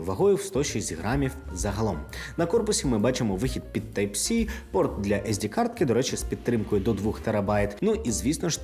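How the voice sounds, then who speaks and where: A man's voice: medium pitch, darkish tone. A man from Ukraine hosting a casual scripted product review, talking conversationally to the viewer like a friend. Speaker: tech reviewer and video host.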